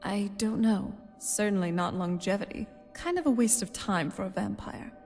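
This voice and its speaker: A woman playing a young vampire woman from a fantasy video game, curious and conversational. Her mid-pitched voice rings in a long hall reverb, with music playing behind her. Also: moderate pace, darkish tone, close to an everyday voice. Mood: thoughtful, focused. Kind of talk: video game character dialogue.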